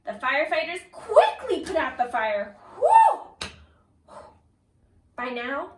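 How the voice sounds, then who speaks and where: A woman teacher reads a children's story slowly, her high voice swinging expressively as she pauses to put questions to little listeners. The sound is roomy and echoing, picked up from a distance. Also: noisy background. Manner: expressive, exaggerated, pausing to ask young listeners questions. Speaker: kindergarten teacher reading aloud. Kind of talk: animated children's picture-book read-aloud with questions to listeners. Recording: roomy echo, distant from the mic.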